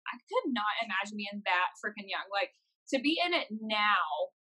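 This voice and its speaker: A woman's voice: mid-range voice, very animated, normal speed, sharp tone, rough recording. A thirty-year-old American woman chatting informally as a podcast co-host over a phone video call. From United States. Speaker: podcast co-host, professional wrestler. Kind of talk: casual chat. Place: plain indoor room.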